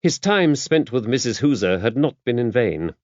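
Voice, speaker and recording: A man's voice: medium pitch, sing-song, moderate pace, no room sound, highs cut off. A man with a British accent reading scripted narration from a mystery novel as an audiobook narrator.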